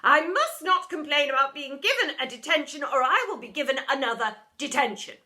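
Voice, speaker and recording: A woman voicing a furious, domineering, half-mad deputy head teacher in a storybook, barking and shouting her orders. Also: sits high, animated, pitched above the performer's own voice. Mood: stern. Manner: shouting, barking, drawn-out commanding delivery.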